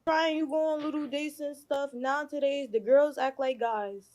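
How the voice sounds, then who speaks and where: A woman's voice: sits high, steady tone, moderate pace, darkish tone. A young American woman chatting casually about dating in a slangy, emphatic way.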